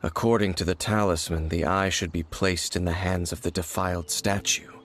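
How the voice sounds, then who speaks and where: A man voicing a grave, aloof and mysterious warrior in a video game, speaking in a measured, formal, solemn manner. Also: deep voice, steady tone, a bit bright, slight background noise. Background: game music.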